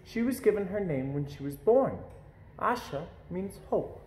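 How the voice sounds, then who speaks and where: A man voicing a gentle, patient mother in a children's story. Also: high-pitched, lively intonation, a little slow, slight background noise, pitched above the performer's own voice. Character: gentle, patient mother explaining things to her son. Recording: echoey room.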